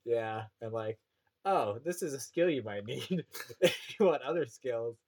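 A man's voice: medium pitch, lively intonation, measured pace, slightly rough. A young Canadian man with a prairie accent, a teacher chatting casually on a podcast, full of fillers and restarts. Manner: rambling, many fillers and restarts.

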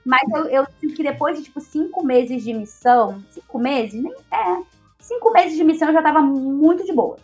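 A Brazilian woman in her twenties, a guest telling her own story in a relaxed, chatty way. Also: high-pitched, normal speed, soft background music. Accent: Brazilian Portuguese. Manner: chatty, leans on tag questions. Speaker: interview guest. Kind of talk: casual personal storytelling.

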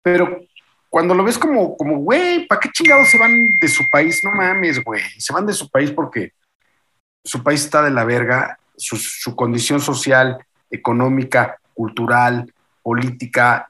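A man with a Mexican Spanish accent in casual chat on a video call, talking in heavy slang and swearing, and acting out other people's words in lively voices. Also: medium pitch, measured pace.

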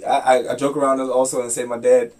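A man's voice: medium pitch, level tone, fast, a little mellow, far from the mic. A young man hosting a podcast and chatting casually with his father. He talks loosely, with lots of fillers and restarts. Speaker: podcast host. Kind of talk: casual interview chat.

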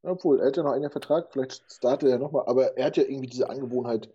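Quick husky guy chatting football, mellow voice, kinda lo-fi. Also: mid-range voice. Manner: quick, laughing, anecdotal. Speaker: podcast co-host. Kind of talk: casual football chat. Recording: dull lo-fi sound.

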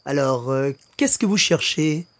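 A man's voice: on the high side, lively intonation, moderate pace, close to an everyday voice. A man playing a friendly, obliging Frenchman in a radio play for learners. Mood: friendly, helpful. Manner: chatty, obliging. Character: helpful, friendly Frenchman giving directions to tourists.

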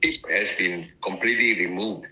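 A man's voice: low voice, normal speed, a crowd in the background, poor audio. A man, a retired ambassador telling stories in a leisurely, good-humoured way during an interview. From Malaysia. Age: 90s. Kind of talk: casual interview reminiscence.

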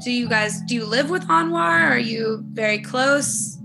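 A woman interviewer who asks gentle, probing follow-up questions. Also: on the high side, unhurried, vocal fry, music in the background.

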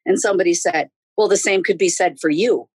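A woman's voice: fairly low pitch, brisk, husky, dry recording. A woman in her sixties, a podcast guest chatting casually and telling stories, laughing often. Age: 60s. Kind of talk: casual interview chat.

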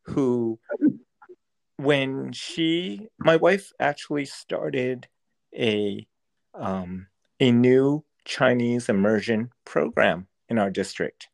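A man's voice: medium pitch, expressive, extremely slow, creaky. A man whose first language is Cantonese, speaking as a school district educator in an interview, earnest and often restarting as he searches for words. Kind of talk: thoughtful interview answers.

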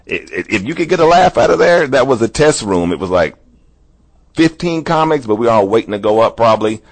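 A man's voice: medium pitch, big pitch swings, fairly quick. A male stand-up comedian in casual chat, telling rambling stories full of restarts. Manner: rambling storyteller, many restarts.

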